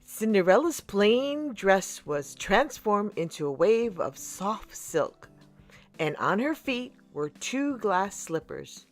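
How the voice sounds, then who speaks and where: A woman reading a storybook aloud for children in an expressive way, with dramatic pauses and emphasis. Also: medium pitch, faint music behind. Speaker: children's storybook reader and channel host.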